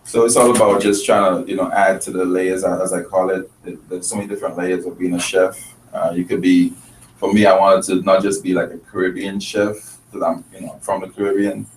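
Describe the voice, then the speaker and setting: A man's voice: low-pitched, little pitch movement, brisk. A man from Grenada and Trinidad, a chef and restaurant owner, talking earnestly in an interview with many restarts. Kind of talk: casual interview talk. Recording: roomy, distant mic, faint noise.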